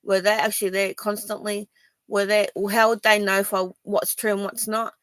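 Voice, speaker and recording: A woman's voice: mid-range voice, flat delivery, moderate pace, no room sound. Rambling defensive Australian woman. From Sydney, Australia. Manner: rambling and defensive, many ums and restarts. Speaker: vlogger. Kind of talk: personal video statement to viewers.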